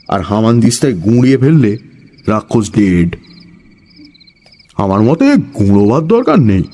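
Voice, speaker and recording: A man's voice: medium pitch, mellow, natural voice. A man playing an eccentric, bossy village know-it-all in an audio story, scolding and conspiratorial with dramatic emphasis. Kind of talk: Bengali audio story acting.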